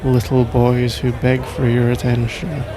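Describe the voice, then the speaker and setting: Slow, gravelly British crime lord played by a man. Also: medium pitch, monotone, lightly acted. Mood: smug, seductive. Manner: measured, self-assured, condescending, teasing, formal phrasing. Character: suave, suspicious, powerful male mafia crime lord. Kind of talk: ASMR roleplay, intimate character monologue. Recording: noisy bar-like crowd ambience behind.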